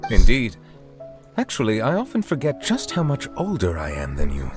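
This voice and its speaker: Game casting: stately ageless male judge, very low voice, reserved, formal, measured. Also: huge pitch swings, music in the background. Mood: courteous, stiff. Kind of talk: video game voice acting.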